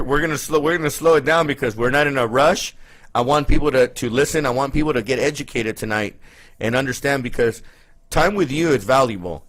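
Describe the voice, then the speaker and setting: A man hosts a live interview show in a mid-pitched voice. He speaks informally and effusively, addressing guest and audience in a loose conversational style. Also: a bit bright, poor audio.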